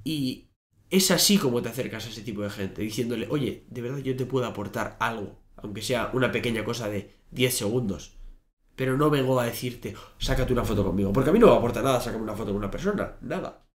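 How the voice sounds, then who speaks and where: A man's voice: mid-range voice, quick pace. Young man telling stories in an echoey office. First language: Spanish. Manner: animated storytelling, many fillers and restarts. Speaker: podcast guest. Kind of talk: casual interview talk. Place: small office studio. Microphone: desk microphone. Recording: echoey room.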